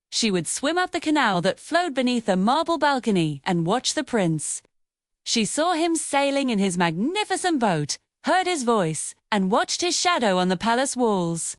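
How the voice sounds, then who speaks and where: A woman with a fairly high voice narrates a fairy tale. Her delivery is measured and dramatic, with lively rises and falls. The recording is dry, with very little room sound. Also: dry recording. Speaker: storyteller.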